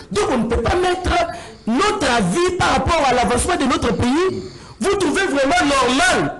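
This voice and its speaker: A man's voice: extremely high pitch, rough recording. A man with a Congolese accent in a heated political debate, arguing insistently and repeating himself. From Congo (DRC). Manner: insistent, repetitive, argumentative.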